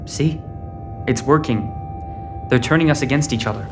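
A stubborn teenage boy with secrets, played by a young man in an audio drama. His voice sits at a medium pitch, over prominent music. He comes across as someone on the run. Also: moderate pace, natural voice. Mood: evasive, reassuring.

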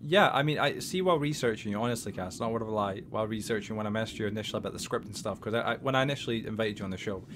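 A man in his twenties from Scotland with a softened Scottish accent, hosting a casual interview chat in a bubbly, energetic way with many stammered restarts. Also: fairly low pitch, racing, hardly any pauses, a crowd in the background. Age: 20s. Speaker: podcast host.

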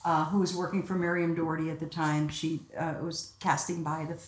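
An older woman with a slightly low voice tells her life story in a chatty, laughing way, acting out the things people said to her. Her voice bounces around a roomy, echoey space. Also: brisk. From Egypt. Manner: chatty, laughing, animated quoting. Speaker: guest, casting director. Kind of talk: casual interview storytelling.

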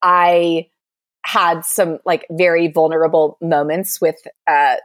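A woman's voice: low voice, fairly even intonation, slow pace, no echo. A young adult woman telling a candid, exasperated dating story with lots of "like" in a casual chat.

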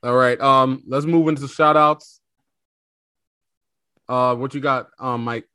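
A man's voice: medium pitch, steady tone, slow pace, sharp tone, clean tone, no room sound. Male host, playful and loose, repeats lines, dry close sound. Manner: playful, repeats himself, slangy. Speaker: podcast host. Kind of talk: casual sports chat.

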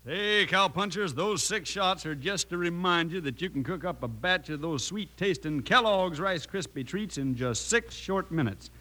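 High-pitched American radio ad man. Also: lively intonation, moderate pace, few pauses, bright, forceful tone. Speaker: radio commercial announcer. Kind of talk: 1950s folksy cereal commercial.

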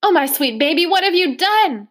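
A woman voicing a large, loud, warm-hearted older foster mother with a hearty, booming manner. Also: animated, moderate pace. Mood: exclaiming.

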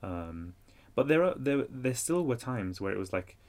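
A man's voice: mid-range voice, normal speed. A man in his thirties with a London accent, a guest author talking casually with many fillers and easy laughs. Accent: London English. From Iraq. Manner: energetic, laughs easily, chatty with fillers. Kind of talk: casual interview talk.

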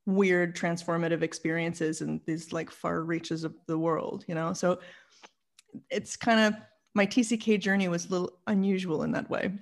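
A woman's voice: fairly low pitch, steady tone, normal speed. A woman in her forties with a Canadian accent, telling personal stories casually and chattily as a podcast guest. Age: 40s.

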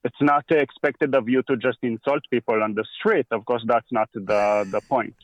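Israeli-accented male guest, halting unhurried delivery, dry slightly dull recording. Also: mid-range voice, dry recording. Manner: halting, with frequent pauses and restarts. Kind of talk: casual conversation.

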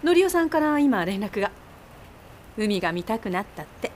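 An actress in a radio drama playing a brisk, kindly, bossy island innkeeper with a Mikawa accent from Aichi. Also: female voice, mid-range voice, sing-song, darkish tone, a little hiss or hum, natural voice. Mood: helpful. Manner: brisk, homely, nudging. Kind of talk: Japanese radio drama acting.

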